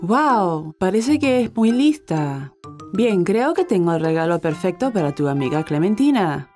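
A woman's voice: lowish voice, sing-song, unhurried, darkish tone. A woman voicing a friendly, helpful young shop assistant in a children's cartoon dub, with a Latin American Spanish accent. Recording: music behind the voice.

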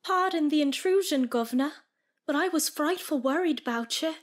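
An actress playing a fretful, doting Victorian London streetwalker, deferential and solicitous, in gothic audio drama dialogue. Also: female voice, high-pitched, normal speed, natural voice. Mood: worried, caring.

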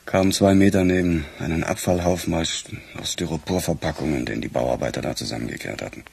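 A very husky, weary detective. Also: male voice, rumbling low, brisk, a little mellow, full character voice. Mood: matter-of-fact. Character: weary, wry male police detective. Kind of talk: conversational German radio crime drama acting.

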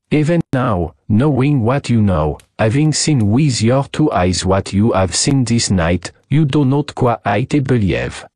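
A man's voice: lowish voice, darkish tone, dry recording, clean studio sound. A man voicing a flamboyant, commanding adventurer with a formal, theatrical manner.

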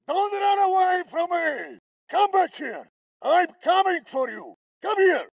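An actor playing a brutal, threatening Russian soldier in a shooter game, with a Russian accent. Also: male voice, extremely high pitch, lively intonation, slow pace, vocal fry, no echo, exaggerated voice. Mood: enraged, taunting. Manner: threatening, taunting. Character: brutal, menacing Russian soldier hunting a child. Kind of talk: combat voice lines for a shooter game.

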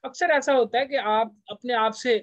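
A man giving an informal lecture as a teacher, explaining point by point and slowing down for English phrases. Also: very high-pitched, no room sound. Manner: explanatory, slows down when reading English phrases. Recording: dull, lo-fi close recording.

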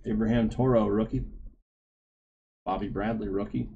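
A man in his thirties hosting a live card-breaking stream, reading names in a low running murmur, a little hoarse. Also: steady tone, moderate pace, narrow, tinny audio, slight background noise. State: slightly hoarse. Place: small card shop studio.

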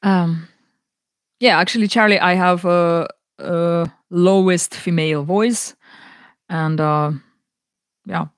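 A young woman with a naturally low voice, chatting casually with viewers between songs in a small home studio, speaking softly and haltingly into a close boom mic. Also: fairly even intonation, no echo. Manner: soft, halting, many fillers. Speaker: singer hosting a live music stream. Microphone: large broadcast mic on a boom arm, close.

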